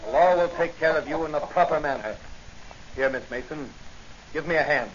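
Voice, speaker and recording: An actor as a commanding radio crime-fighting hero. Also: male voice, on the high side, a little fast, lightly acted. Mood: stern, commanding. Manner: commanding, scornful, righteous. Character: bold, stern masked crime-fighting police hero, adult man. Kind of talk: 1940s radio acting. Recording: muffled, noisy 1940s radio recording.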